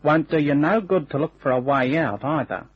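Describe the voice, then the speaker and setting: An actor playing a menacing, smug working-class hired gunman in a radio crime drama, with slangy, taunting, unhurried talk. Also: a little high, slightly rough. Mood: cold menace. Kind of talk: old-time radio crime drama acting. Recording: dull, band-limited old radio recording.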